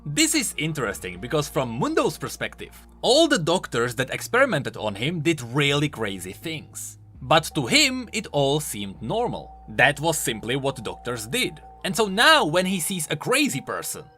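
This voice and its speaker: Male lore video host: scripted, emphatic commentary, sharp tone, hugely lively pitch, music bed. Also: moderate pace, hardly any pauses. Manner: emphatic, swooping delivery. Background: background music.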